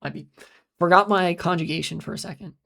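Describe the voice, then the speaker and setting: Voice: mid-range voice, fairly quick, vocal fry, a bit bright. Rambling, profane American streamer. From United States. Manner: rambling and profane, trailing off into filler sounds; reads out and answers chat messages. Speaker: live streamer. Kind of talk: casual live-stream monologue.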